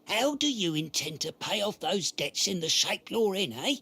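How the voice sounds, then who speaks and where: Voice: mid-range voice, very animated, moderate pace, vocal fry, pushed and bright, husky, heavily acted. An actor playing a blunt, jaded acquaintance, colloquial and chiding, in a game drama. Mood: chiding, resigned.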